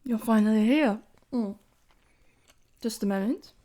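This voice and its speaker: A woman playing a gentle, playful young queen in an intimate audio roleplay, speaking politely and formally in a soft, breathy, often near-whispered voice. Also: a little high, unhurried, lightly acted. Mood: apologetic, lightly teasing.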